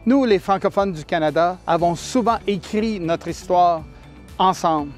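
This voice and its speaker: High-voiced man in solemn rallying voice-over. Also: measured pace, soft background music. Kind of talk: scripted voice-over.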